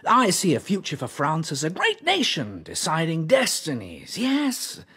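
An actor playing a wily medieval French king, breathy and insinuating, coaxing with questioning turns in an audio drama. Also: on the high side, wildly swooping pitch, unhurried, bright, forceful tone, heavily acted. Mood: persuasive, insinuating. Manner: insinuating, punctuates points with a questioning yes.

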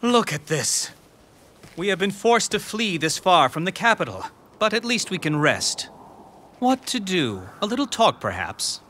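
A scheming middle-aged strategist in a game drama. The voice is bright and fairly high, lilting with lively pitch, smooth-talking and knowing. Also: male voice, a little hiss or hum, exaggerated voice. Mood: insinuating. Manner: insinuating, measured, knowing. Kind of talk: dramatic video game voice acting.

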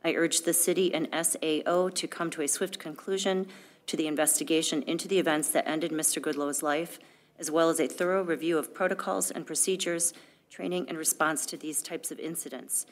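A woman's voice: sits low, steady tone, fairly quick. A middle-aged woman reading official documents aloud as a clerk, formal and matter-of-fact. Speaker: village clerk. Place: indoor board meeting room, at a desk.

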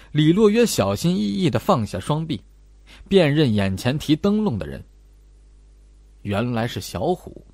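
A man reads a suspense horror audiobook in a medium-pitched, husky voice. His scripted delivery is dramatic, with wide swings in intonation, and the recording is close and dry. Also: darkish tone, dry recording. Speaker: audiobook narrator.

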